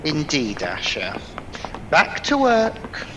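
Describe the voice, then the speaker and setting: A man's voice: a little high, wildly swooping pitch, slow, noisy background, heavily acted. A man playing a serious, stern worker in an audio drama, with a formal, dry delivery. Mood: disapproving.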